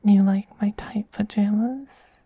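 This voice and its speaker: Man acting as male vampire queen, very dark soft tone, unhurried, doting, gently dominant, breathy whisper, ASMR roleplay. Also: high voice, steady tone, no room sound, muffled recording, exaggerated voice. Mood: tender, reassuring. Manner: slow, soothing, gently dominant, intimate.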